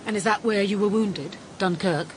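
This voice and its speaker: An actress playing a blunt, dry-witted private detective in a radio drama, with an English accent and a brisk, wry way of talking. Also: female voice, medium pitch, a little hiss or hum, close to an everyday voice. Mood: questioning. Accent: British, English.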